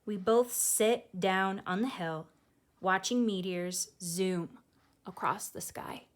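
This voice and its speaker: A woman reads a children's story at an unhurried pace in a mid-range voice. She is expressive and conversational, stepping out of the text now and then to explain things to young listeners like a teacher. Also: mid-range voice. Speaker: teacher reading a picture book aloud to children. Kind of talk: expressive children's read-aloud with casual explanatory asides.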